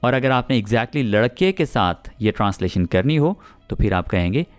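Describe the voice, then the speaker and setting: A man with a Pakistani accent teaching spoken English, explaining patiently and repeating example sentences slowly. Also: mid-range voice, animated, soft background music.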